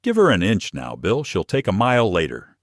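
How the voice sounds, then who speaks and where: A man's voice: on the low side, wildly swooping pitch, moderate pace, pitched above the performer's own voice. A man narrating a story voices a pragmatic man giving firm advice to a shop owner. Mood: firm, cautioning.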